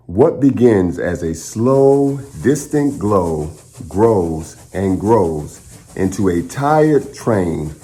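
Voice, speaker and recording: A man hosting a children's storytime, speaking to young listeners in an encouraging, expressive way as he chats and reads aloud. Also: sits low, extremely slow. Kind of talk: children's read-aloud and upbeat chat to young viewers.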